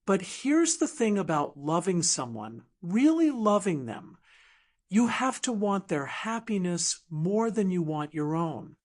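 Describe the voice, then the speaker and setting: A woman voicing a quiet, tender middle-aged man in an audiobook romance, gentle and soft-spoken. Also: fairly low pitch, sing-song, unhurried. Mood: tender, wistful.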